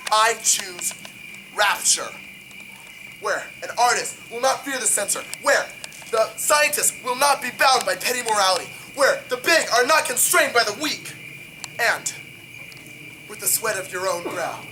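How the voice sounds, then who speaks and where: A man acts out a proud, defiant tycoon delivering a dramatic video game monologue. He speaks as an orator, emphatic and deliberate, full of contempt and grandeur. The recording has clear background noise. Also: extremely high pitch, sharp tone, full character voice, rough recording. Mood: defiant, grandiose.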